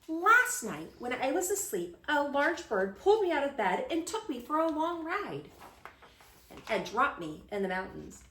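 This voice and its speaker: A woman's voice: high-pitched, sing-song, moderate pace, bright, forceful tone, slight background noise, pitched above the performer's own voice, exaggerated voice. A woman voicing an old grandpa who tells tall adventure tales with dramatic emphasis in a children's storybook. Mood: excited boasting. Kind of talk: children's picture book read-aloud. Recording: roomy, echoing sound.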